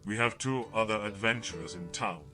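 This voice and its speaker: Guildmaster for an audio RPG: low voice, brisk quest-giver, guitar music clearly behind. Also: male voice, pushed and bright. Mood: brisk, businesslike. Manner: brisk, instructing. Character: businesslike guildmaster handing out quests in a fantasy town.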